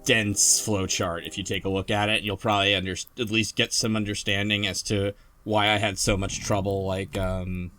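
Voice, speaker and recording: A man's voice: fairly low pitch, level tone, normal speed, few pauses, sharp tone, soft background music. A man hosting a casual podcast discussion, talking in a hesitant, think-aloud way. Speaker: podcast host.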